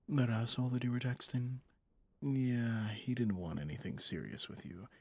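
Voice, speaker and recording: A man voicing a possessive, smug mafia boss and smitten lover in an intimate ASMR roleplay, teasing and dominant, with a gravelly, breathy, often half-whispered voice. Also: fairly low pitch, no room sound, exaggerated voice. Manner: slow, teasing, dominant, pet names.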